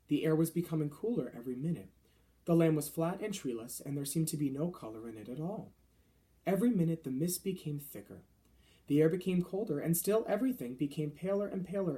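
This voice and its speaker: A man reading a children's story aloud as an expressive narrator. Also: a little high. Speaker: storybook reader.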